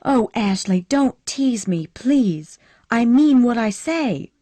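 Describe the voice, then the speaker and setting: A woman's voice: on the high side, lively intonation, slow pace, dark tone, no room sound, pitched above the performer's own voice. A woman reading the part of a passionate, impulsive young woman in a storybook, speaking in a breathless, pleading way with rising emotion.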